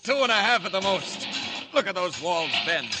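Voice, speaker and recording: An actor playing a confident, defiant superhero in a 1940s radio serial, self-assured and wry in a declamatory way. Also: male voice, high-pitched, animated, normal speed, over loud music. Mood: bold, exultant. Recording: very noisy old radio recording.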